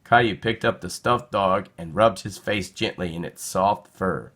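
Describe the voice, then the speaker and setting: A man narrating a children's storybook in an expressive read-aloud style. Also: low voice, a little slow.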